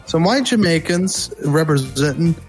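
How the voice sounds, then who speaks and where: A man with a slightly high, animated voice, recorded with clear music behind him. He tells crude personal stories in a casual, halting podcast style. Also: poor audio. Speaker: podcast co-host. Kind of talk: casual comedic storytelling.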